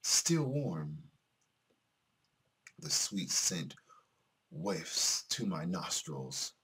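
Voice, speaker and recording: A man voicing a cold, sadistic killer who narrates his murder in a slow, deliberate, menacing way. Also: low-pitched, big pitch swings, a bit bright, husky, heavily acted. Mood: cold relish. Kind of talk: dramatic reading of visual novel narration.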